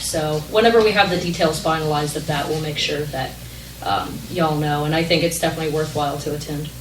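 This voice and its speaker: A woman's voice: fairly low pitch, slight background noise. A young woman from a regional planning group explaining a grant program at a council meeting, with many ums and likes. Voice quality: loud voice. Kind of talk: public meeting presentation. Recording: echoey meeting hall, distant mic.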